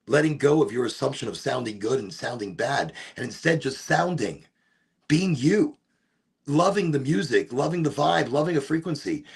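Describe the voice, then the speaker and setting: A man with a deep, gravelly voice and a husky edge hosts a relaxed solo session. He rambles loosely from one tangent to the next. His pitch rises and falls in lively swings. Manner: rambling, wanders off topic, breaks into off-key singing. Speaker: host of a smoke session. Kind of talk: rambling casual monologue.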